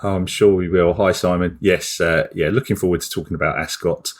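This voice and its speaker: A man co-hosting a podcast, croaky from a cough, talking casually with a lot of ums and uhs. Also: low-pitched, steady tone, brisk. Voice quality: husky. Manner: hesitant, many ums and uhs. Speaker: podcast co-host.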